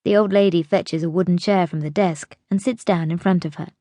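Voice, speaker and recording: Low, mellow woman narrating a story. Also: steady tone, brisk, no room sound. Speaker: audiobook narrator. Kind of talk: expressive scripted story narration.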